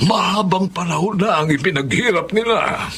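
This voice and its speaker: A man's voice: sits high, normal speed, background noise. A man playing an old grandfather, earnest and pleading, in Filipino radio drama style. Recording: loud dramatic music behind the voice.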